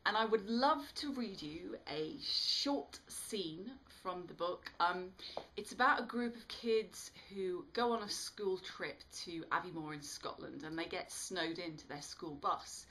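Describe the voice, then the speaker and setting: A woman author reading her own book aloud with animated, dramatic storytelling delivery. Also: fairly low pitch. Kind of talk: expressive storytelling read-aloud.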